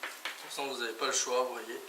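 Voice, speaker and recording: A man's voice: mid-range voice, fairly even intonation, quick pace. A man giving a casual hands-on product tutorial, informally talking through each step with little interjections. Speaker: motorcycle vlogger presenting gear. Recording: echoey, distant mic, clearly noisy background.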